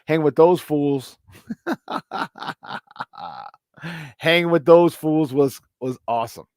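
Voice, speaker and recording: A man hosting a casual morning sports talk show, his voice a little hoarse, repeating words for emphasis and laughing often. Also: a little high, slow, darkish tone, dry recording, studio quality. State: slightly hoarse. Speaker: sports talk radio host.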